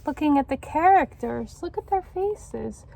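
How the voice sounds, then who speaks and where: A woman tutor reading a children's book aloud in an instructive way, pausing to put questions to young listeners. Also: high voice, animated, creaky, dark tone.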